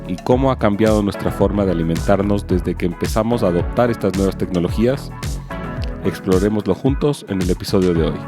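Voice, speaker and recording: Deep-voiced Chilean man hosting a podcast. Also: a little fast, music in the background. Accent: Chilean Spanish. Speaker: podcast host. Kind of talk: scripted intro then casual interview.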